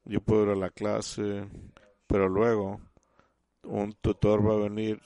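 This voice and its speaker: A man giving a sermon in halting speech, often restarting and repeating words as he teaches the congregation. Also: on the low side, monotone, warm tone, no echo. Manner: addresses listeners as "usted". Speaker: church speaker.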